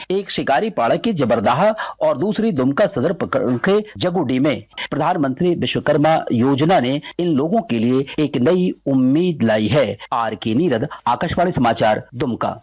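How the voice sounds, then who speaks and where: An Indian man reads out a scripted correspondent's report for radio news. His voice is husky and a little on the high side for a man. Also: darkish tone, low-quality recording.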